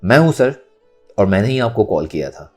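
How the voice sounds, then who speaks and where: A man's voice: lowish voice, sing-song, normal speed, a little mellow, slightly rough, soft background music. A man voicing a confident, resolute young woman in an audio story, speaking firmly and formally. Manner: firm, composed, formal pleading.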